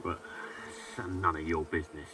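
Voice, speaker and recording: An actor playing a swaggering, unhinged military colonel in a video game, barking and taunting theatrically. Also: male voice, rumbling low, level tone, normal speed, distant mic, background noise. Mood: mocking, bitter. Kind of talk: video game character acting.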